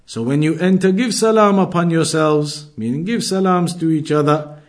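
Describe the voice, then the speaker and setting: A man teaching an Islamic lesson, explaining in a deliberate way and repeating his points. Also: a little high. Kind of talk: religious lesson, reading Arabic then explaining.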